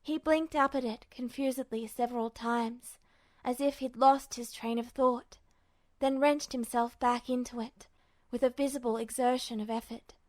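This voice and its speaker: High-voiced woman narrating fiction. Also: steady tone, moderate pace, a little mellow, no echo. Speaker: podfic narrator. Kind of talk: expressive scripted fiction narration.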